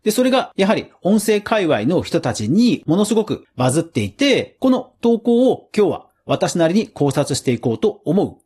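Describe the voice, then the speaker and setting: Animated, husky-voiced man hosting a podcast. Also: high-pitched, normal speed, few pauses. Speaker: podcast host. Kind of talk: casual solo commentary.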